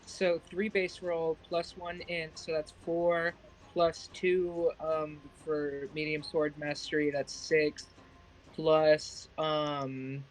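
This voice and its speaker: Slightly high-voiced man thinking aloud. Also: flat delivery, slow, a little hiss or hum, rough recording. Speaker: tabletop game player. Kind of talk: casual chat, working out game rules.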